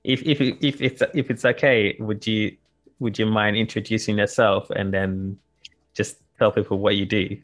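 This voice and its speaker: A man's voice: on the low side, steady tone, normal speed, a bit bright. A man hosting a podcast interview, speaking hesitantly with repeated words and fillers. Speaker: podcast host.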